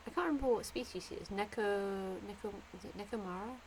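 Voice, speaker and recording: A woman's voice: medium pitch, quick pace, slight background noise, rough recording. A woman streaming a game, chatting casually and giggling as she gushes over the characters. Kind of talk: casual live-stream commentary.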